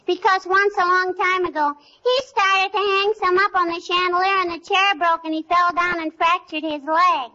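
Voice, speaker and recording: A woman's voice: very high voice, fairly even intonation, moderate pace, few pauses, bright, forceful tone, slight background noise. A woman playing a cheeky, scheming little girl in a 1940s radio comedy, talking in a coy, childish way. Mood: cheeky, businesslike. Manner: childish, coy, with "hmm?" and "I bet you". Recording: muffled 1940s radio recording, studio audience.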